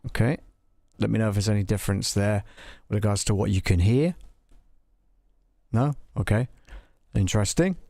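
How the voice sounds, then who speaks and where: A man hosting a live software walkthrough, talking casually and tentatively, thinking aloud as he tests things. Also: lowish voice, normal speed, gravelly, long pauses, no room sound, studio quality. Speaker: livestream host demonstrating audio software.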